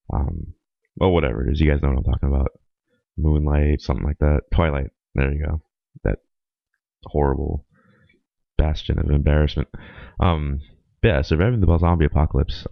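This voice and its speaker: A young man with a very deep, gravelly voice, raspy and hoarse from a week of being sick. He rambles through a solo podcast with plenty of ums and uhs. The recording is dry and a little dull, lo-fi. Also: dry recording. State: hoarse from a cold. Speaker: podcast host. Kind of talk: casual solo podcast monologue.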